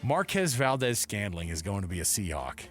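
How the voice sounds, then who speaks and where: An American man co-hosting a sports talk show, casual and slangy, joking and excitable as he gives his commentary. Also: lowish voice, moderate pace, soft background music. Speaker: sports radio co-host. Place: radio studio.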